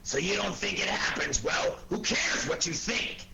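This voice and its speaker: High-strung gravelly blustery American police inspector. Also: male voice, sing-song, a little slow, heavily acted. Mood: bossy. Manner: gruff, exasperated, bossy. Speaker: actor in a radio detective drama. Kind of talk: 1940s radio acting. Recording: noisy 1940s radio recording.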